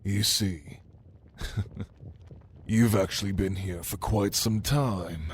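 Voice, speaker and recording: A deep-voiced, prideful demon played by a man speaks slowly and deliberately, with mocking menace. His murmurs slip toward whispering in an intimate ASMR roleplay, over noticeable background noise. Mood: covetous, sly. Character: sinister, prideful male demon, a prince's cunning right hand. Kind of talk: ASMR roleplay monologue to the listener.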